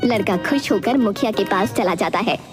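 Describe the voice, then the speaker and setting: A woman's voice: medium pitch, normal speed. A woman narrates a scripted moral tale in storybook style. She is the narrator of a cartoon, with prominent music playing under her voice.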